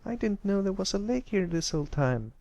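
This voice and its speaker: Guy doing a little colt kid brother, shy but eager, high innocent boyish voice, pony story. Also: sing-song, brisk, darkish tone, no room sound, muffled recording. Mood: excited.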